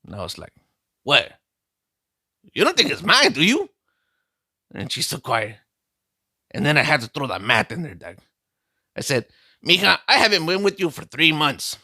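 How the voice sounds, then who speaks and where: A man's voice: medium pitch, animated, moderate pace, long pauses, pushed and bright, no room sound, studio quality. A man playing a boastful, heartbroken streetwise vato, telling a comic story in slangy talk. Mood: swaggering. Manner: slangy, calls listener "dog" constantly, boastful storytelling. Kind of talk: comic character monologue.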